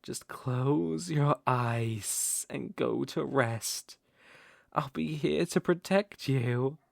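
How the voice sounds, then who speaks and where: Performer doing a very slow, creaky, possessive naga. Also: mid-range voice, dry recording, clean studio sound, full character voice. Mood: possessive lulling. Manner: coaxing, cooing, drawn-out endearments. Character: smooth-talking possessive naga captor, sweetly sinister and doting. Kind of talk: ASMR roleplay, slow intimate storytelling.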